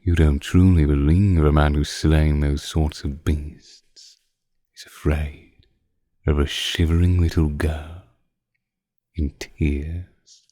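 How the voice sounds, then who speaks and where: A man playing an ancient, suave vampire lord in a scripted roleplay drama, speaking with deliberate, condescending menace and archaic phrasing. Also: extremely low pitch, little pitch movement, dark tone, dry recording, studio quality, heavily acted. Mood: coaxing, menacing. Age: older. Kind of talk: scripted roleplay audio drama, intimate close-mic monologue.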